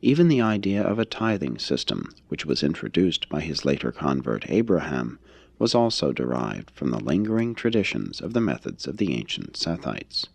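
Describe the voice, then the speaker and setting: Deep-voiced man narrating, slightly husky. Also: very animated, no echo. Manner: formal, measured, expository. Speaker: audiobook reader. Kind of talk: scripted narration, reading a religious text aloud.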